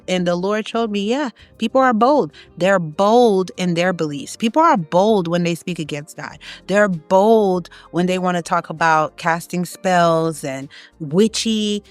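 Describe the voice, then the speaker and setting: A woman's voice: unhurried, dry recording, faint music behind. A woman from Haiti giving an emphatic, opinionated casual monologue, her voice climbing when she presses a point. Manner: emphatic, rising in pitch when making a point. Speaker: online content creator.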